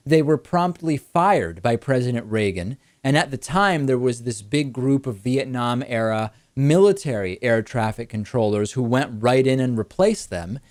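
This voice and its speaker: A man hosting a political talk show, explaining a news story in a brisk way with rhetorical questions. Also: medium pitch, a little mellow, no echo, clean studio sound. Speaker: host.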